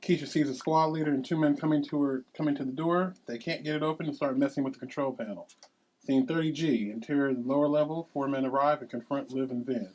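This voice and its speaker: A man serves as narrator for a table read. He reads screenplay headings and action lines in a medium-pitched voice. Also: fast, a little mellow, poor audio. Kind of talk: reading screenplay directions aloud.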